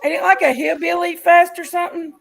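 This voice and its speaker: A woman with a loud voice, joking in a casual livestream chat. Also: very high-pitched, fairly even intonation, brisk. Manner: loud, joking, gravelly chatter.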